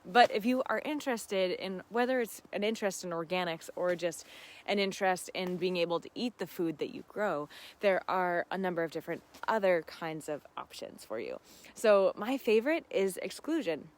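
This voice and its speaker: A woman's voice: lowish voice, lively intonation, moderate pace. A woman hosting a casual live gardening Q&A, chatting informally and expressively with enthusiasm as she answers viewer questions.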